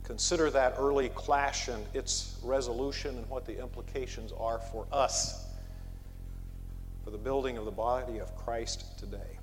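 A man preaching an expository sermon from a pulpit, measured, with emphatic rises on key words. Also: mid-range voice, steady tone, long reverb tail. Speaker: pastor. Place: church sanctuary.

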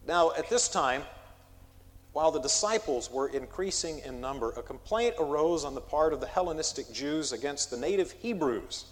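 A man preaching an expository sermon from a pulpit, measured, with emphatic rises on key words. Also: on the high side, lively intonation, in a large hall. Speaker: pastor. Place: church sanctuary.

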